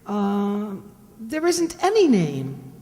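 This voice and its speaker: An actress playing a detective's quick-witted, playful female sidekick in an old-time radio drama, expressive and pert. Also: medium pitch, in a large hall, exaggerated voice. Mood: pert, wry.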